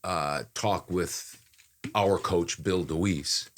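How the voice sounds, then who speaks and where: A man's voice: low voice, takes it slowly, a little hiss or hum. An older man co-hosting a casual podcast, talking in a rambling, self-deprecating way about his work. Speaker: voice-over talent, podcast co-host. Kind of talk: casual chat.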